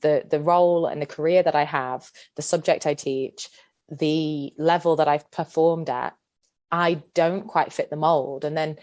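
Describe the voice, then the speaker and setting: Podcast guest: woman in her thirties from Yorkshire, low voice, earnest and deliberate. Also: steady tone, darkish tone. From Yorkshire, England. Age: 30s. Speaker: guest, PE teacher and former athlete. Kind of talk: reflective podcast interview.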